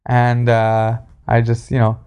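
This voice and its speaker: A man telling a story casually as a podcast host, in a halting, sarcastic way, speaking close to a handheld recorder. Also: mid-range voice, completely flat delivery, a little mellow, clear, resonant tone. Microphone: handheld recorder, spoken into closely.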